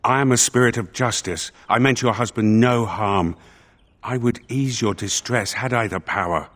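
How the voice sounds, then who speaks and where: An actor playing a solemn spirit of justice in a dead man's body for a video game, speaking formally and with measured thought. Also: medium pitch, a bit bright, natural voice. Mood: gently solemn.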